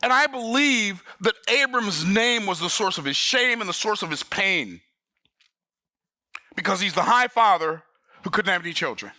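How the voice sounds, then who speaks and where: A man's voice: high voice, expressive, moderate pace, bright, forceful tone, no echo, studio quality. A man in his sixties teaching the Bible in an emphatic, folksy preaching manner. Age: 60s. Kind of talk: sermon-style Bible study.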